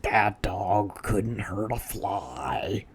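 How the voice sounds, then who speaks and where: A woman voicing a nervous, jumpy male burglar in a storybook, speaking in a hushed whisper with frightened exclamations. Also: rumbling low, animated, very slow, a little hiss or hum, voice pushed down, exaggerated voice. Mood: scoffing.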